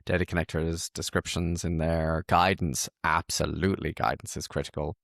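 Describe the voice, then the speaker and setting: Low, creaky-voiced man hosting casually. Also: flat delivery, normal speed, a bit bright, no room sound, studio quality. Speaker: host. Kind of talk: casual conversational interview.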